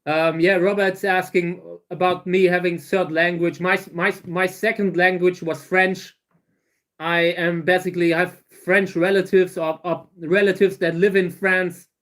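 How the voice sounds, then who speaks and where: A German man in his thirties with a German accent, answering viewer questions on a casual livestream, halting and restarting his sentences often. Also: sits high, flat delivery, fairly bright tone. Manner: halting, many restarts and fillers. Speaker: livestream host.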